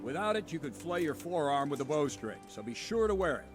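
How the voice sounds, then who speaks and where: An actor playing a gruff, seasoned guard captain in a medieval video game, instructing in a brisk, commanding way. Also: male voice, a little high, very animated, over music. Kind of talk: video game drama acting.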